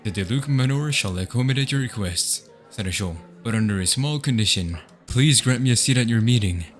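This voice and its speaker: A man's voice: medium pitch, animated, fairly quick, soft background music, close to an everyday voice. A man playing a composed, dignified young noble host in a dramatic fan dub, speaking formally and politely. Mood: composed, firm.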